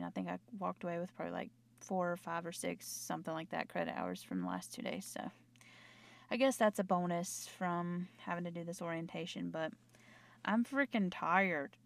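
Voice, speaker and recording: A young Southern woman from North Carolina talks in a low voice with plenty of gravel, slightly hoarse and a little scratchy. She rambles and interrupts herself. The sound is dry and close, recorded in a small closet at home. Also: dry recording. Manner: rambling, chatty, self-interrupting. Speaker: nurse and podcaster. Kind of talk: casual late-night chat. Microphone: own microphone.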